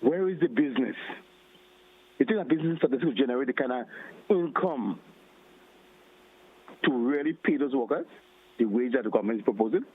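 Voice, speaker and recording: A man's voice: medium pitch, animated, fairly quick, long pauses, slightly rough. A man calling in to a radio show, arguing with rising, emphatic questions. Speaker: caller. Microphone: phone line. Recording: tinny phone line.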